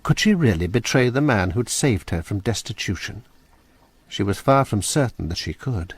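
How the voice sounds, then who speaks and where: Male narrator for a radio play, low and husky, measured delivery. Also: expressive, no room sound. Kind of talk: scripted narration.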